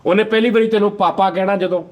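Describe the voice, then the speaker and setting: Fast-talking higher-voiced Punjabi man. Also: steady tone, darkish tone. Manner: argumentative, insistent. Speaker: talk show host. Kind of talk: casual comedic panel chat.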